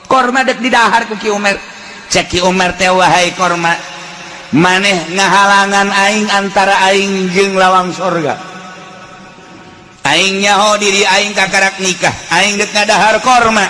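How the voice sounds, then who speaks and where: High-pitched Sundanese-accented male preacher. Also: a little slow. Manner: animated, shifting between chanting, shouting and folksy asides. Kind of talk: fiery religious sermon with storytelling.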